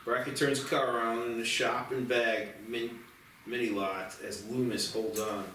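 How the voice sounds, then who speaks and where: Guy narrating a script dramatically, average pitch, way off the mic in an echoey room. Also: level tone, moderate pace, fairly bright tone, slight background noise. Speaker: stage-direction narrator. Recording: very echoey, distant mic, music behind.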